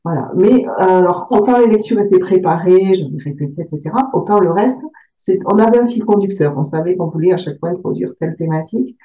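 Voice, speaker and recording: A woman's voice: on the low side, lively intonation, fast talker. A woman author from southern France answering interview questions, hesitant, with many fillers and repeated words. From Aveyron, southern France. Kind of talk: interview answers about her book. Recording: distant, roomy, dull sound.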